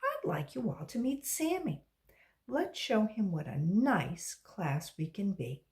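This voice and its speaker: A woman's voice: medium pitch, very animated, slow, darkish tone. A woman voicing a patient, primly polite but exasperated schoolteacher in a children's storybook. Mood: firm, polite.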